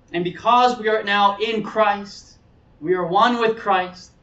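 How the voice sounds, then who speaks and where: High-voiced man preaching in a roomy space. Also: a bit bright. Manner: earnest, explanatory, repeats phrases for emphasis. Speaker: pastor preaching. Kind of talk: sermon, conversational teaching. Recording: roomy, distant mic, dull sound.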